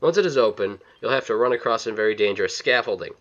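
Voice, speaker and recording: A man's voice: fairly low pitch, expressive, fast talker. A man giving step-by-step instructions as the narrator of a video game walkthrough. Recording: slightly dull, lo-fi voice-over.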